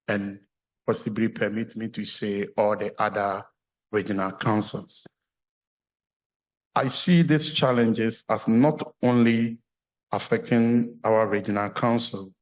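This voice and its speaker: A man from Ghana with a Ghanaian accent, a clergyman giving a measured, earnest formal address at a church meeting. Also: lowish voice, low-quality recording.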